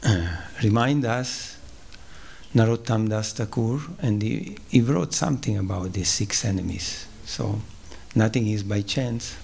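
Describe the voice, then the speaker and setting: Slow, halting man with an Italian accent. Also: on the low side, a little mellow, a little hiss or hum. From Ticino, southern Switzerland. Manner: slow and halting, with pauses, fillers and throat clearing. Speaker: guest speaker at a temple. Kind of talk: spiritual lecture. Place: temple hall.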